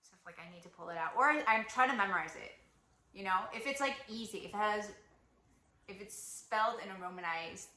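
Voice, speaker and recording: Young woman, travel vlogger, casual advice chat, forceful bright voice, roomy echo. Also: medium pitch, fairly quick. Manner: chatty, lots of "like" and "you know". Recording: echoey room.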